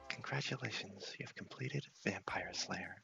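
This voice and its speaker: A man with a low, crackly voice softly narrates a video game in ASMR style, often half-whispering. The sound is a little muffled, with music faintly underneath. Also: normal speed, vocal fry. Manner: soft-spoken, half-whispered. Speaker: host of a gaming series.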